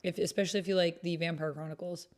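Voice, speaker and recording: A woman's voice: lowish voice, steady tone, quick pace, darkish tone. A woman hosting a livestream, chatting casually and informally with her viewers. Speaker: livestream host.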